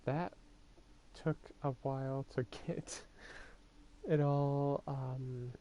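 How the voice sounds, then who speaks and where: A woman's voice: extremely low pitch, level tone, very slow, a little hiss or hum. A woman giving casual, laid-back gameplay commentary in muttered asides. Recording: loud game music behind.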